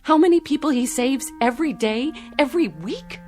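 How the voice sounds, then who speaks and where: एक अभिनेत्री एक आक्रोशित युवा पत्नी की भूमिका निभा रही है, जो गंभीरता से अपने पति का बचाव करती है, पुराने टीवी नाटक में हल्के संगीत के साथ।. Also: female voice, sits high, animated, moderate pace, darkish tone. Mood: indignant. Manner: earnest, protesting. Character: indignant young doctor's wife defending her husband. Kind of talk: 1960s TV drama acting. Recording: old TV soundtrack with faint music.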